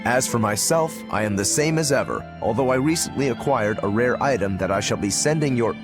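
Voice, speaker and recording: Guy voicing a cheeky game swordsman, mid pitched, casual and wry, music under it. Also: expressive, fairly quick, lightly acted. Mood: curious. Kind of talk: video game acting.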